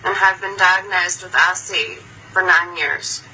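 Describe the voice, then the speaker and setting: A woman in her thirties from Kentucky, giving a plain, steady introduction of herself. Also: fairly low pitch, unhurried, slight background noise, poor audio. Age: 30s. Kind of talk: short personal introduction.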